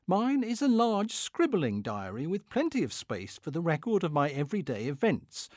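A pompous middle-aged clerk of the Victorian era, acted out by a man, reading his diary aloud with fussy indignation. His voice swoops expressively and sits a little high for a man, in a very dry, close recording. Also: no echo. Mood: indignant. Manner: pompous, indignant, self-satisfied. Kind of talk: dramatic comic reading of a diary.

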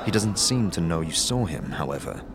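A man's voice: sits low, expressive, normal speed, noisy background. A man as game master, narrating the scene descriptively in second person. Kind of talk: scripted narration. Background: background music.

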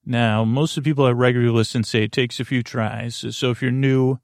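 A man hosting a bedtime podcast in a creaky, lulling voice, halting and stammering through meandering, goofy tangents. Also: mid-range voice, fairly even intonation, darkish tone, no room sound, clean studio sound. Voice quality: creaky, drawling. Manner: lulling, soothing. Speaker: host of a bedtime sleep podcast. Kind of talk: rambling, meandering bedtime monologue.

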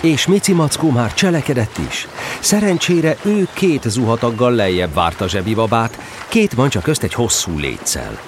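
A man narrating a scripted children's story as an expressive storyteller. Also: mid-range voice, noisy background.

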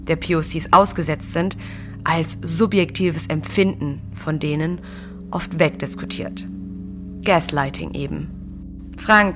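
A woman in her early thirties hosting a discussion in an articulate, measured way. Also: fairly low pitch. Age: early 30s. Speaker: podcast host. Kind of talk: scripted narration and conversation about books and society.

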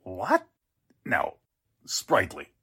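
Someone acting a flustered 40s radio newspaper guy, really husky gravelly voice, halting. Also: fairly low pitch, wildly swooping pitch, fairly bright tone, no room sound, clean studio sound, full character voice. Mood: alarmed. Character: flustered, bewildered male newspaper boss. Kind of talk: 1940s radio drama acting.

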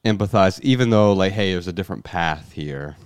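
A man hosting a podcast, chatting casually and reflectively, thinking ideas out loud and asking questions. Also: low-pitched, brisk, clear, resonant tone, dry recording. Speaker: podcast host.

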